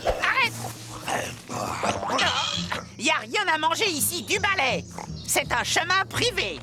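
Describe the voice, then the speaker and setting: A woman voicing a cheeky, excitable little male animal guide in a children's cartoon, bold and exclamatory, over music. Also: extremely high pitch, slow, exaggerated voice. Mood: scolding. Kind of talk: children's fairy-tale cartoon dubbing.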